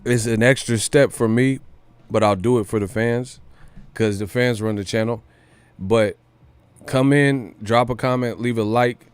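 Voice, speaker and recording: A man's voice: medium pitch, fairly even intonation, measured pace, clean tone, no room sound. A man hosting a boxing news livestream, talking casually in slang with some swearing and repeating phrases for emphasis. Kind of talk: casual live-stream commentary.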